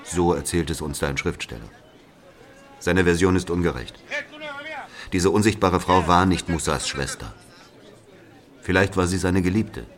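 A man's voice: low-pitched, measured pace, pauses often. A man playing a bitter brother of a murdered man, telling his story in an emphatic, conversational dramatic monologue. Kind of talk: confiding dramatic monologue to a listener.

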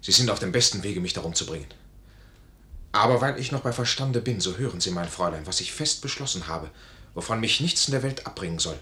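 Stubborn honour-bound major, forceful tone, formal declamation, 1950s radio drama, roomy vintage recording. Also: male voice, deep voice, expressive, fast. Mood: indignant, resolute. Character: proud, honour-bound discharged army major, wounded and stubborn. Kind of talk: 1950s German radio play acting.

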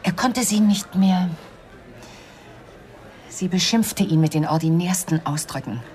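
An actress as a cool widow hiding murder in a radio crime play. Her voice sits fairly low. She speaks with tight control, often dropping to a breathy hush. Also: unhurried, a little hiss or hum, natural voice. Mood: grave, confessional. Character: Mrs. Wade, cool, evasive widow and secret murderess. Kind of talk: 1990s German radio crime drama acting.

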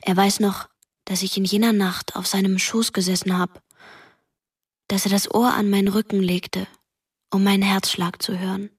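A woman playing the watchful, tender ghost of a dead teenage girl, narrating a radio play quietly and in measured phrases. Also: medium pitch, flat delivery, husky. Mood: quiet, observing. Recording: music behind the voice.

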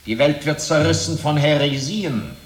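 A male actor playing a solemn, merciless medieval inquisitor in a radio drama, with a measured, pompous, declamatory delivery. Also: on the high side, natural voice. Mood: ominous preaching. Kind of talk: radio drama acting, oratorical. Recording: echoey hall with clear noise.

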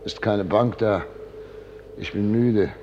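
An actor playing a tired, ailing dignitary with a weary, complaining, halting manner in a German radio play. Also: male voice, fairly low pitch, a little mellow, muffled recording, slight background noise. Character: tired, ailing male dignitary, dragged along at night.

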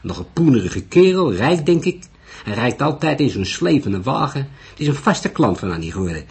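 A man voicing an upset, blunt brothel landlady who talks in a quick, indignant, colloquial way, in an audiobook story. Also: mid-range voice, big pitch swings, creaky, darkish tone, husky, voice pushed up, full character voice. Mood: agitated.

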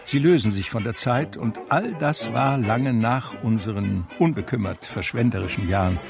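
An actor playing a wistful blind pianist who narrates his life in a radio drama, measured and reflective, with a gravelly voice. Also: fairly low pitch. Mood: nostalgic. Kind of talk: literary first-person radio drama narration.

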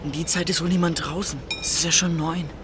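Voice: medium pitch, fairly even intonation, a little fast, over music, close to an everyday voice. A performer playing a guarded, literal-minded boy of thirteen in a German radio drama, matter-of-fact and questioning.

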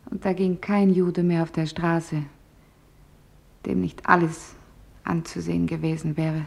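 A woman's voice: lowish voice, flat delivery, a little slow, vocal fry, darkish tone. A woman playing a young office clerk, giving earnest, plain testimony in a radio documentary drama. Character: young female office clerk earnestly recalling wartime events.

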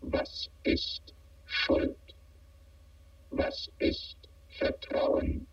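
An actor playing a detached alien intelligence that asks slow, probing questions through a synthetic filter in a radio play. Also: completely flat delivery, gravelly, full character voice. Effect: high synthetic voice filter, radio-like. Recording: muffled, band-limited radio sound.

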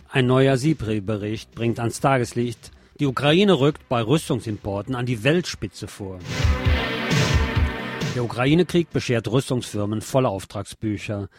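A man's voice: medium pitch, normal speed, music in the background. A man presenting on radio, reading scripted news and political commentary.

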